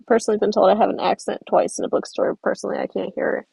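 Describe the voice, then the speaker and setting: Very gravelly-voiced young woman streamer. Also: medium pitch, level tone, racing, mellow, no room sound. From the American South. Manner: chatty and rambling, many fillers, laughs easily. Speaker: stop-motion creator streaming. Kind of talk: casual livestream chat reading comments.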